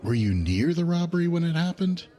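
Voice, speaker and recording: An actor playing a cautious, protective male ally in an audio drama, with a gentle, measured and concerned manner. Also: on the high side, very animated. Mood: probing.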